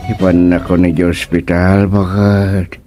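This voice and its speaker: Low-voiced, flustered Filipino village councilman. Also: little pitch movement, slow, over loud music. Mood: grumbling, scheming. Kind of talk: Filipino radio comedy drama acting.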